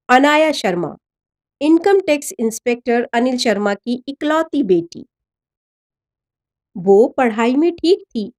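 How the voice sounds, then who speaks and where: A woman reading a scripted story as a narrator, expressive and measured. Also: fairly high pitch. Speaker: story narrator, voice-over artist.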